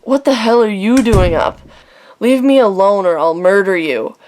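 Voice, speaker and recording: A woman's voice: medium pitch, moderate pace, a little mellow, close to an everyday voice. A woman playing a hostile, defensive teenage older sister in an audio drama, curt and snappish. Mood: angry.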